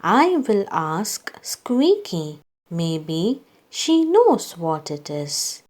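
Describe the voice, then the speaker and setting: A woman reading a children's story aloud, acting out its dialogue in a deliberate way meant for young listeners. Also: lowish voice, sing-song, a little mellow.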